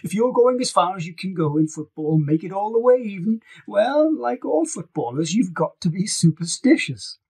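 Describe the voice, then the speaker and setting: A man's voice: sits high, very animated, vocal fry, darkish tone, breathy edge, voice pushed up, exaggerated voice. A man voicing an affectionate old grandfather, firm and reassuring, in an audiobook reading. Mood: earnest, insistent. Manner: reassuring, emphatic, deliberate. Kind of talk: acted dialogue in an audiobook reading.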